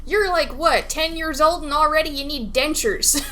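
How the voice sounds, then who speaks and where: Woman, podcast co-host, very high voice, giggly, casual game chat. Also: normal speed, fairly bright tone. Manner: giggly, laughs readily while talking.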